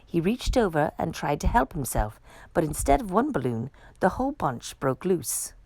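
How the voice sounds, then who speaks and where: A woman reading a children's picture book aloud with expressive, dramatic storytelling. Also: sits low, normal speed, no echo.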